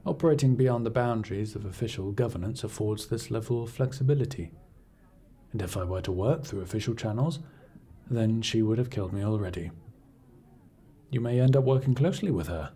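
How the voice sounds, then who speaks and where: A man playing a cool, imperious scholar-agent in a fantasy audio roleplay, speaking in a formal, measured, dryly condescending way. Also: lowish voice, mellow, breathy edge. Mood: dry, dismissive. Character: cool, imperious male scholar-agent serving an arcane archivist patron. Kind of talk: scripted audio roleplay acting, addressing the listener.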